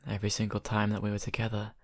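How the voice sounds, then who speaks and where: A young man acting a shy college vampire who confides haltingly and softly to his best friend in an ASMR roleplay. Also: lowish voice, monotone, dry recording, clean studio sound, close to an everyday voice. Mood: wistful, hurt. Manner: hesitant, halting, soft-spoken confiding. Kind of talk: ASMR roleplay, intimate improvised monologue.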